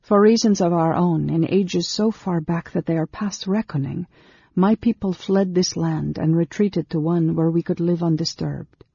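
A woman voicing an earnest, nervous woman of a long-lived secretive race in a storybook, speaking haltingly and with emotion. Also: fairly low pitch, gravelly, warm tone, breathy edge, no echo, dull audio, pitched above the performer's own voice, heavily acted. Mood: earnest, flustered.

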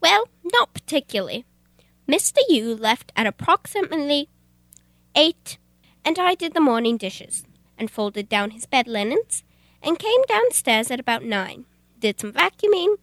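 An obedient housemaid from old-time radio theater played by a young woman. Her high voice is bright and swings about in pitch, yet she stays matter-of-fact and dutiful. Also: big pitch swings, a little slow, dry recording, heavily acted. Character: dutiful, simple housemaid who lives in a closet.

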